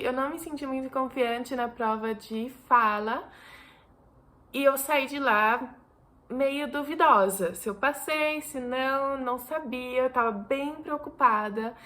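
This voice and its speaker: A woman's voice: a little high, a bit bright. Chatty young Brazilian woman vlogging. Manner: chatty, rambling, frequent restarts and fillers. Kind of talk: casual chat to camera.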